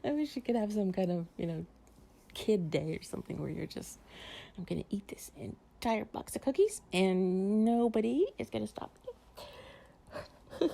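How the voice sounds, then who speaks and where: A woman chatting casually and intimately to the listener in an improvised relaxation roleplay, softly and breathily with drops into whispering, in a playful, sleepy, confiding way. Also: medium pitch, animated, moderate pace, pauses often. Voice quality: soft, breathy, often dropping to a whisper. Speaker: audio roleplay performer playing a loving companion.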